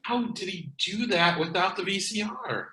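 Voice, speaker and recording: A man plays a flippant, meek slacker with a high, sharp voice. He is glib and eager to give way, in a comedy script reading. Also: expressive, normal speed, exaggerated voice. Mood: puzzled, casual. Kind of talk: comedy script table read.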